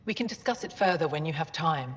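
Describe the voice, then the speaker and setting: An actress playing a reserved, dignified alien lead scientist in a video game, speaking formally and in measured phrases. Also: female voice, deep voice, expressive. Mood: gracious. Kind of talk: cinematic video game acting. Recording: dull game audio, long reverb, faint music.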